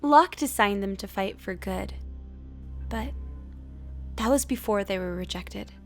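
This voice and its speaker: A woman voicing an earnest, idealistic cartoon therapist with a measured, formal, articulate delivery. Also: mid-range voice, animated, faint music behind. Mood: earnest, concerned. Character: earnest, composed female therapist, idealistic and firm. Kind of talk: animated film voice acting.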